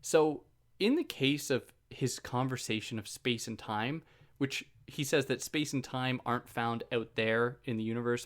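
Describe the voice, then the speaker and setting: Male podcast host, conversational lecture delivery, easygoing and self-deprecating, prone to tangents. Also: mid-range voice. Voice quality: soothing voice. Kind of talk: casual explanatory lecture.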